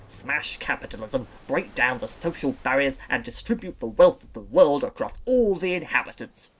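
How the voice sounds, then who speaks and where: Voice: mid-range voice, fairly bright tone, slight background noise, natural voice, low-quality recording. A performer voicing a grumpy, opinionated mother seal who rants about the world. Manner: ranting, opinionated, politically preachy.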